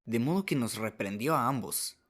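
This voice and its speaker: A man's voice: medium pitch, lively intonation, normal speed, fairly bright tone. A man narrating a short story as a scripted radio drama, reading as the narrator.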